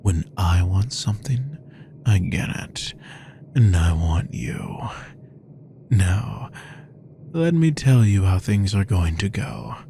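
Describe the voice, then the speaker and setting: A man voicing a possessive, powerful male vampire, speaking slowly with a gravelly voice and frequent whispers, menacing and obsessive, close to the mic. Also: deep voice, lively intonation, mellow, slight background noise, exaggerated voice. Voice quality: gravelly, slow, often whispering. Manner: menacing, possessive, drawn-out delivery. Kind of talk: roleplay audio drama.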